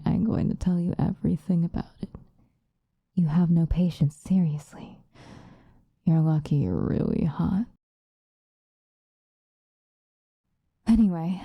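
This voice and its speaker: Woman playing a dark, hushed, seductive vampire. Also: fairly low pitch, no room sound. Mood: teasing, flattered. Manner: soft, breathy, drifting into whispers, unhurried. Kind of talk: ASMR roleplay, intimate confessional monologue. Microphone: close microphone, dry.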